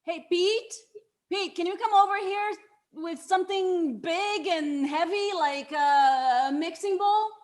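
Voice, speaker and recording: A woman's voice: very high voice, slow. A woman playing a plucky, wry young bar worker in a scripted drama table read. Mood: nervous, urgent. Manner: wry, sweary.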